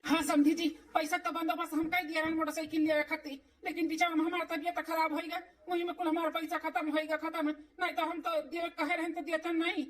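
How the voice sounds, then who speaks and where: A woman's voice: very high-pitched, little pitch movement, fairly quick, few pauses, distant mic. A woman playing an anxious cartoon father of a bride, pleading and lamenting in an Awadhi accent. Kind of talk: cartoon dialogue in village comedy.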